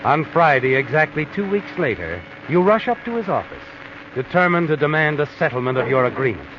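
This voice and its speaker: Creepy all-knowing american narrator from old radio mystery, sly, pitch swoops around, hissy dull audio. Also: male voice, sing-song, fairly quick. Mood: ominous. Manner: sly, insinuating second-person address. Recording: hissy, muffled vintage radio recording.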